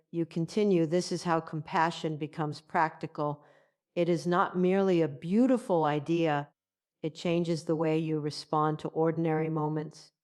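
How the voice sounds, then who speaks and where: A woman giving a calm, guided self-compassion narration in a gentle, measured, soothing way. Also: deep voice, smooth.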